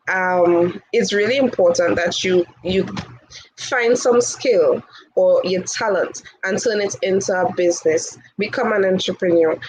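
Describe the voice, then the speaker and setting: A woman's voice: mid-range voice, darkish tone, a little hiss or hum, rough recording. A woman in her twenties from Tobago with a Tobagonian accent, talking outspokenly and laughing often in a casual interview. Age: 20s. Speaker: interview guest, hall chair.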